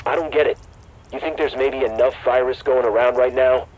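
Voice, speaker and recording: A skeptical henchman, played by a man questions his boss in a mid-pitched, doubtful voice. The audio is a little dull, and faint noise hangs behind him. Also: fairly even intonation, fairly quick, natural voice.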